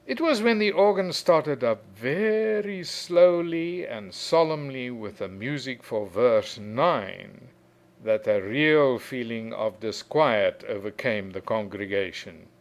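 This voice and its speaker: A man's voice: medium pitch, lively intonation, slow pace. Man telling a story expressively. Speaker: reader of a short story. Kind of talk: expressive literary storytelling narration.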